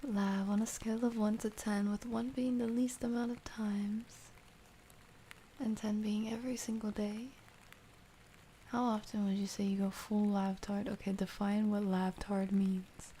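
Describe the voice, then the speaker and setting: A woman livestreamer speaking softly and gently in ASMR style, drifting between a soft voice and whispers as she chats to viewers. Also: medium pitch, little pitch movement, a little slow, a little hiss or hum. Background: soft rain ambience.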